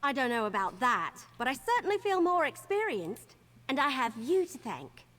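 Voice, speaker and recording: Plucky young game heroine, bubbly n earnest, high voice bouncing all over. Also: female voice, sing-song, normal speed, fairly bright tone. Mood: earnest, wondering. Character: eager young female adventurer, earnest and plucky. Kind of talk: video game character dialogue.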